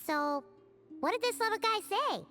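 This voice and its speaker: Tiny squeaky fairy sidekick for a game, super high and bouncy, eager, music behind. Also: female voice, lively intonation, normal speed, fairly bright tone. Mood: curious, eager. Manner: refers to self in third person, exclamatory. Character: small chirpy childlike female fairy companion, eager and caring. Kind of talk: video game character acting.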